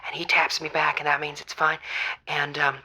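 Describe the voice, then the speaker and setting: A woman playing an earnest, rambling caller on a comedic radio-show drama, breathy and given to asking rhetorical questions as she tells her story. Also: sits low, monotone, moderate pace, sharp tone, slight background noise, poor audio. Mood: dreamy, titillated. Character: Morgan, gushing theater-loving caller to a radio show.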